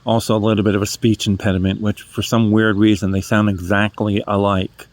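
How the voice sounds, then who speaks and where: Man, over 50, New England accent, slightly low voice, rambling and self-deprecating, phone mic. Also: level tone, a little fast. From New Hampshire, New England. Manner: meandering, self-deprecating, corrects himself mid-sentence. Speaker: first-time book vlogger. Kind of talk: casual rambling monologue. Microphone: phone held in hand.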